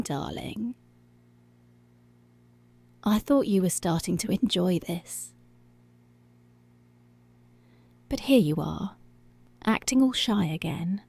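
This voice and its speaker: A woman's voice: mid-range voice, mellow, full character voice. A woman playing a dominant, teasing policewoman in an intimate, half-whispered ASMR roleplay. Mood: coaxing, teasing. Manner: slow, breathy half-whispered, possessive teasing.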